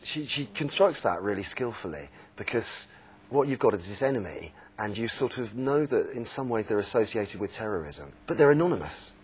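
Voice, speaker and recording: Middle-aged man, low pitch, husky voice, analytical book review talk. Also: big pitch swings, moderate pace. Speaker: book discussion panelist. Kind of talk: thoughtful book review discussion.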